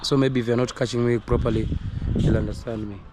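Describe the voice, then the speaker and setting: Hoarse Kenyan man on a noisy farm. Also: mid-range voice, steady tone, fast talker. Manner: repetitive, earnest, coaching tone. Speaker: poultry farmer. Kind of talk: casual instructional vlog. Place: outdoors on a poultry farm. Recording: noisy outdoor recording with roosters crowing.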